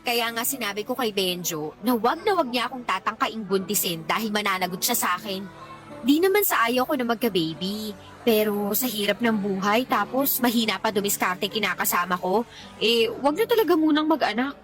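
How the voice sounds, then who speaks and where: A woman's voice: mid-range voice, expressive, normal speed, a bit bright, close to an everyday voice. A woman playing a petulant, jealous young mother venting to a friend in a Tagalog radio drama, whiny, blunt and slangy. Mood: exasperated. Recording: music behind the voice.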